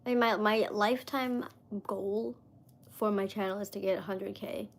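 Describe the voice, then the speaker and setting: A woman's voice: mid-range voice, fairly even intonation, normal speed. A woman in her mid-thirties chatting casually on a live stream, rambling with lots of fillers as she talks to viewers. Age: 30s.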